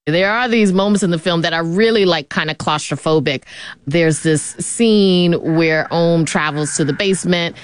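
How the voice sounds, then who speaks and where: A woman's voice: fairly low pitch, normal speed, clear, resonant tone, dry recording. A woman hosting a public radio interview, asking questions in a conversational way. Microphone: studio microphone.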